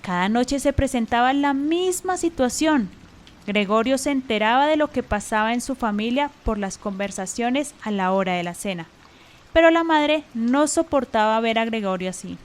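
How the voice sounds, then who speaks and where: High-pitched woman storyteller. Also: expressive, normal speed. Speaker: narrator. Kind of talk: scripted storytelling narration.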